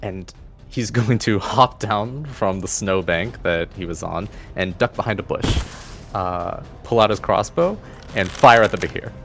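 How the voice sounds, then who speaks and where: A man's voice: on the low side, unhurried, creaky, a bit bright. A man working as game master, narrating a tabletop game in a dramatic storytelling style. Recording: faint music behind the voice. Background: faint music.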